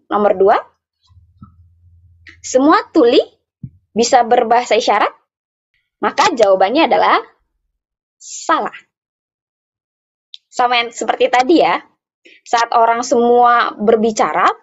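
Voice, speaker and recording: A woman interpreting live for an interactive online workshop, speaking phrase by phrase in a halting way with repeats. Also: on the high side, husky. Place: online video meeting. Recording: dull, muffled online call audio.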